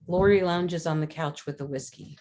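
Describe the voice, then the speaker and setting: Female narrator for a script read, slightly low register, delivering screen directions. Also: moderate pace, a little mellow. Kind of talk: reading screenplay stage directions.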